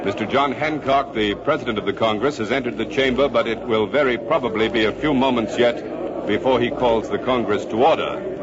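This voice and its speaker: An actor playing a measured, courteous radio news correspondent in 1940s live commentary style. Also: male voice, medium pitch, natural voice. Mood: composed, attentive. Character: composed radio news correspondent inside Congress chamber. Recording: muffled vintage radio, murmuring crowd.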